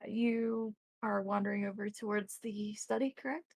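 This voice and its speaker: A woman runs a tabletop game, describing scenes with care and feeling for the right word. Her mid-pitched voice has a gravelly fry, and the sound is close and dry. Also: fairly even intonation, moderate pace, a little mellow, no echo. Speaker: game master.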